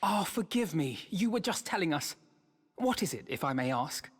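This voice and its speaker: A woman's voice: sits low, sing-song, moderate pace, breathy edge, exaggerated voice. A woman voicing a courteous, thoughtful young diplomat in a fantasy game, with a formal, inquiring way of speaking. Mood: polite, inquiring. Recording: reverberant hall, faint music behind. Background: game music.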